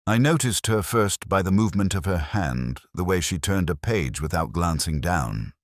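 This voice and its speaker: A man reading a scripted first-person detective story in a measured, literary, reflective manner. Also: very deep voice, no echo, clean studio sound. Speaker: narrator.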